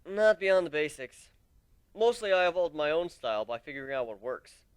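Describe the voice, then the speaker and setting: A man's voice: on the high side, very animated, moderate pace, clear, resonant tone, no echo. A man voicing a cocky griffin ship captain who talks in a casual, boastful way, in a dramatic story reading. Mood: matter-of-fact. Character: cocky young male griffin ship captain, brash fighter.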